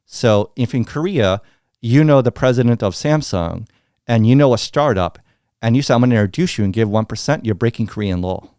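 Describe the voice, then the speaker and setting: A middle-aged American man telling candid business stories as an interview guest, blunt and animated, acting out what others said. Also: mid-range voice, fairly quick, no room sound, studio quality. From United States. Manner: blunt, animated, acts out quoted dialogue.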